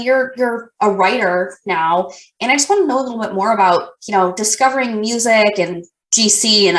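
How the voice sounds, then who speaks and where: A woman's voice: mid-range voice, fairly bright tone, reverberant. A woman hosting a casual podcast interview, friendly and rambling, full of fillers and restarts. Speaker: podcast host.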